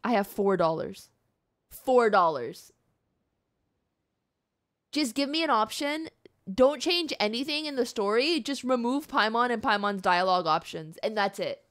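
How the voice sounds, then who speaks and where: A woman's voice: fairly high pitch, animated, a little slow, long pauses, a bit bright. A woman game streamer giving casual, reactive live commentary, informal and repeating phrases for emphasis.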